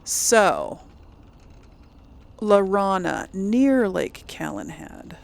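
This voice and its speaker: A woman's voice: medium pitch, very animated, extremely slow, gravelly. A woman giving casual let's play commentary on a video game, chatting and thinking aloud as she goes. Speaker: video game streamer, host. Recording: faint background game noise.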